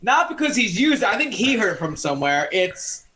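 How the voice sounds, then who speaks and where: A man co-hosting a casual podcast, teasing and joking in an animated way. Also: high voice, brisk, a little hiss or hum. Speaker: podcast co-host. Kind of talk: casual chat.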